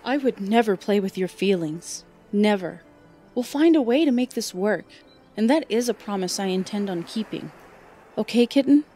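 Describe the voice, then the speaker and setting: A woman's voice: medium pitch, lively intonation, normal speed, dark tone, soft background music, close to an everyday voice. A woman voicing a young, extroverted huntress in an audiobook, affectionate, earnest and playful in an informal, teasing way. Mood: reassuring. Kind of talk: audiobook fan fiction character dialogue.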